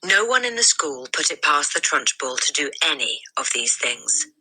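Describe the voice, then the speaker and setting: Low, very bright, husky woman storyteller. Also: very animated, moderate pace, poor audio. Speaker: storybook reader. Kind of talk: expressive scripted read-aloud of a children's story.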